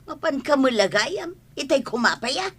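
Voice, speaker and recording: Sharp, nagging village wife, played by a woman. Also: sits high, animated, moderate pace. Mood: bossy. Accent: Ilocano. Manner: nagging, commanding. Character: bossy, nagging, grudge-holding village wife. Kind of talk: Ilocano comedy radio drama acting.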